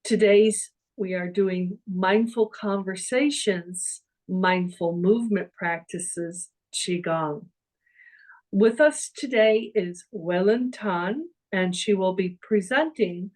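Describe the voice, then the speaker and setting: A woman opens an online conference session as its host, welcoming everyone and introducing the speaker. She speaks slowly and in a measured way. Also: medium pitch, a little mellow, low-quality recording.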